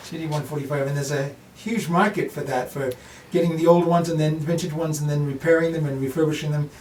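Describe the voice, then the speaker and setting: A man with a fairly high voice acts as host of an audio equipment review. He speaks as an interviewer and reviewer. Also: steady tone, quick pace, darkish tone, slight background noise.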